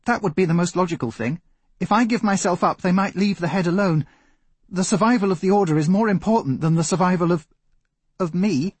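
A scared young woman speaks with quiet resolve, as a male narrator puts her on. His voice lifts a little for her, husky and dry in the close recording. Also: sits high, fairly quick, no echo, pitched above the performer's own voice. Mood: earnest. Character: young woman, frightened but brave.

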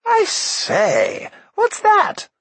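Guy doing a super giddy young prince, squeaky high and gushing about everything. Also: moderate pace, breathy edge, no echo, exaggerated voice. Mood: amazed. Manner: excitable, gushing exclamations. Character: young cheerful prince, easily amazed.

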